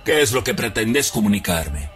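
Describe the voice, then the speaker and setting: A man playing Brutus, a troubled noble Roman, speaking in a grave, brooding, measured theatrical recitation. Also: on the low side, big pitch swings, fairly bright tone, faint music behind. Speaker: actor performing a stage monologue. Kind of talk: theatrical radio-drama recitation.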